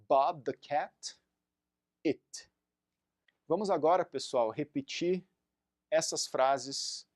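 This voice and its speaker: A man in his thirties, a native Portuguese speaker, teaching an English lesson and drilling phrases slowly for learners to repeat. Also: a little high, no room sound, clean studio sound. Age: 30s. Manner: slow, deliberate drilling of phrases for repetition. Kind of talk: recorded online language lesson.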